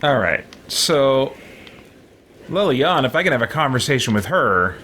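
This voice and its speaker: A man's voice: mid-range voice, very animated, moderate pace, bright, forceful tone, a little hiss or hum. A man hosting a gaming video, giving casual roleplay commentary and explaining his choices as he thinks aloud.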